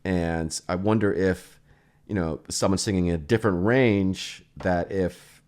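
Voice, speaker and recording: A man giving a casual, rambling software demo, thinking aloud as he goes. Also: sits low, steady tone, unhurried. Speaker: plugin reviewer and host.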